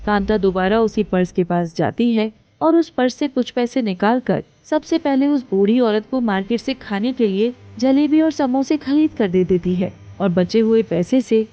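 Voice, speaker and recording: Mellow woman storyteller. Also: on the high side, normal speed, hardly any pauses, no echo, lo-fi sound. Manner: steady, storytelling. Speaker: narrator. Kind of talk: scripted storybook narration.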